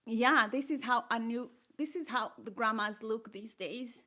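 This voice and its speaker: An older woman with a Kenyan accent talks live to her viewers in a fairly high voice. She chats casually, reads out their comments and laughs easily, upbeat and encouraging throughout. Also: measured pace, pushed and bright. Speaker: livestreamer.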